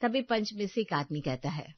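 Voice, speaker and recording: A woman's voice: medium pitch, animated, moderate pace, dry recording, highs cut off. A woman reading scripted narration as the storyteller of a domestic tale.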